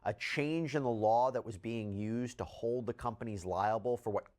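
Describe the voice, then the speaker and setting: A man's voice: mid-range voice, normal speed, a little mellow. A man delivering scripted legal news commentary in an explanatory, emphatic way.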